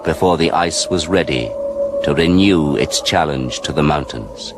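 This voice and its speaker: A solemn storyteller for a radio play. His voice is extremely deep and slow, grave and measured, under loud music. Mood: ominous. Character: solemn male narrator of an ominous tale. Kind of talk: slow scripted audio drama narration.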